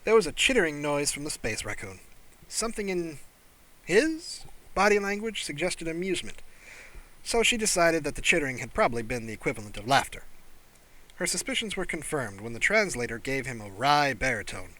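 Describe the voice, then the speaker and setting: A man narrating a science fiction audiobook in an expressive, scripted reading style. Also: a little high, brisk, rough recording.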